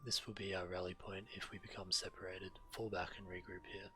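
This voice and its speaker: A man's voice: low voice, huge pitch swings, fairly quick, sharp tone, faint music behind. A male game streamer reading fantasy quest dialogue aloud and adding the odd comment.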